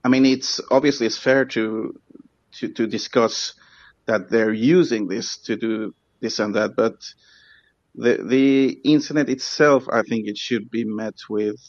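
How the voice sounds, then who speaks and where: A man's voice: mid-range voice, steady tone, a little slow, no room sound, dull audio. A man from Sweden calling in, speaking English with a Swedish accent, hesitant and apologetic, restarting his sentences often. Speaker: caller. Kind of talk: call-in chat.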